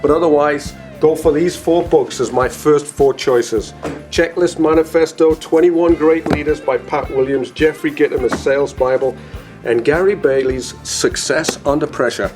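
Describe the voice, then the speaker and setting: A man's voice: a little high, steady tone, moderate pace, music in the background. A man, a company president, talking casually and enthusiastically to his colleagues in a video message. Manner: chatty, enthusiastic, frequent "uh" fillers.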